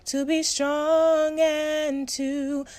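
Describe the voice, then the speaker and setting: A woman's voice: high-pitched, level tone, drawn-out words, darkish tone. A woman singing a devotional hymn to accompaniment. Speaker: singer. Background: instrumental music.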